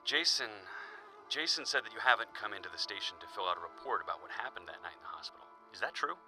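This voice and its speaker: A man acting as a police chief in an audio drama, concerned but firm, speaking in a measured, earnest way. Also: on the low side, lively intonation, bright, forceful tone, soft background music, rough recording. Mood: firm, urging.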